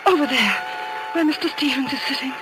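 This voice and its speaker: A woman's voice: high-pitched, expressive, a little fast, loud music behind the voice, poor audio. An actress playing a nervous, credulous but stubborn society lady, hesitant and flustered, in 1940s radio drama style. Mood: alarmed. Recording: old radio recording.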